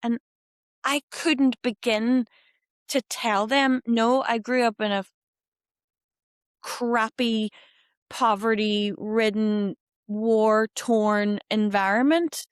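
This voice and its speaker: A woman in her fifties with a Belfast Irish accent, telling her life story as an interview guest in a candid, animated way with quoted asides. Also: fairly high pitch, slow, pauses often, clear, resonant tone, dry recording, clean studio sound. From Northern Ireland. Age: 50s. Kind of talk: reflective interview conversation.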